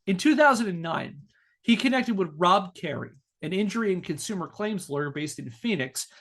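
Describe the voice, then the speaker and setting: A man's voice: high voice, lively intonation, moderate pace, a bit bright. An American man hosting a podcast, reading scripted narration with casual asides. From northeastern United States. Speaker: podcast host.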